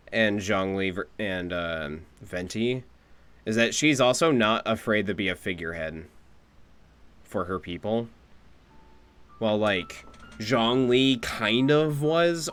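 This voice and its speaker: A man's voice: on the low side, a bit bright. A man giving casual, conversational playthrough commentary as a game streamer.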